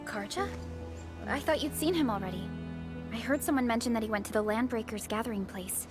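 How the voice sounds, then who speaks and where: A woman's voice: fairly high pitch, fairly quick, natural voice. A woman voice-acting an earnest, upbeat young relief helper in a video game cutscene, with music behind her. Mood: worried.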